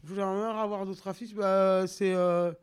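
A woman from Paris, a guest artist on a community radio show, who talks hesitantly with many pauses and restarts. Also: mid-range voice, flat delivery, a little mellow, clear, resonant tone. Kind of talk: casual chat.

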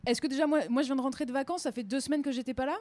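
A woman's voice: sits high, very fast. Young woman hosting a casual community radio show. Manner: relaxed, chatty, leads the talk. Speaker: radio host.

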